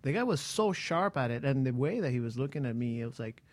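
Man, mid-pitched voice, relaxed host, casual anecdote. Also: quick pace. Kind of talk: casual chat.

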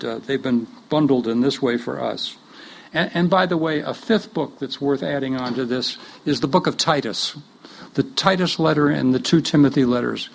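A man's voice: fairly high pitch, vocal fry, rough recording. A man leading a workshop for pastors, talking in an earnest, conversational way with joking asides and frequent restarts. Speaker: workshop leader teaching pastors. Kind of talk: conversational teaching talk.

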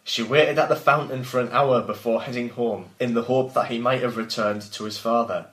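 Male author-reader, mid pitch, reading his own fiction with asides and banter; roomy, echoing sound. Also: fairly quick, fairly bright tone. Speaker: podcast host and author reading own work.

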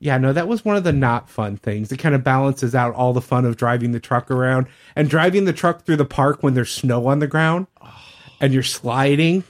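A man's voice: mid-range voice, normal speed. A man telling anecdotes in a casual, chatty podcast conversation. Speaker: podcast regular telling stories.

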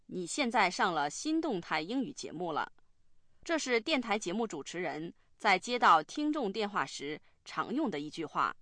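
A woman's voice: medium pitch, a bit bright, dry recording. A woman presenting a language lesson in scripted instructional narration.

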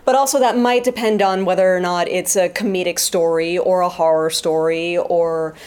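Lower-pitched woman reflecting in an interview. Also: steady tone, normal speed, darkish tone, clear, resonant tone. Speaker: interview guest, sound effects artist and writer. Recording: music playing behind the voice. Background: music.

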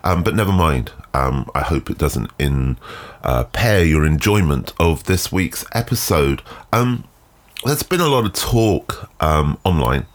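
Male podcast host, extremely low voice, nasal with a cold, measured and musing. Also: big pitch swings. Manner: thoughtful, measured, with frequent ums and uhs. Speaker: photography lecturer and photographer. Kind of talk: solo podcast monologue. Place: garden shed.

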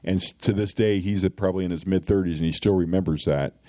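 Man, middle-aged, deep voice, earnest, plainspoken, dark tone. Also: steady tone, fast, no room sound, clean studio sound. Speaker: school resource officer. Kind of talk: casual podcast interview.

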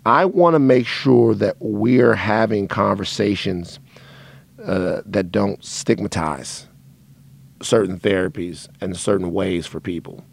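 A man hosting a podcast, talking candidly in a loose, rambling way with a raspy voice from a dry throat. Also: lowish voice, takes it slowly. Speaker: podcast host. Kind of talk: casual monologue to listeners.

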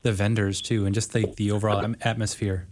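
Low-voiced male radio host. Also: level tone, a little mellow. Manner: measured, hesitant with fillers. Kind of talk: community radio interview. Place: radio studio.

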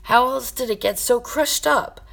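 A woman voicing a hot-headed, foul-mouthed young man in a story, shouting indignantly. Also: fairly high pitch, unhurried, vocal fry, voice pushed up, heavily acted.

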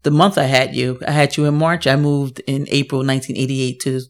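A woman's voice: a bass voice, fairly even intonation, brisk. A woman in her fifties with a New York accent, telling her life story in a casual podcast chat with many ums. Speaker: guest, host's mother. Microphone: podcast microphone.

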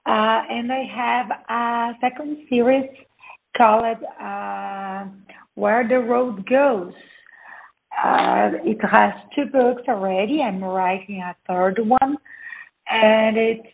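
A woman in her fifties speaks English with a Brazilian Portuguese accent, slowly and with careful pauses. Her voice sits a little high and has a gravelly edge. The sound is narrow and thin, like a phone call. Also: fairly even intonation, slight background noise. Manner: careful, hesitant with frequent "uh" pauses. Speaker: guest author. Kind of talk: interview conversation.

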